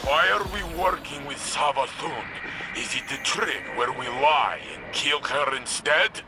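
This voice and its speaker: A man playing a wary, doubting soldier in a video game, terse and low-key. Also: unhurried, background noise, close to an everyday voice. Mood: suspicious. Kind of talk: video game cutscene dialogue.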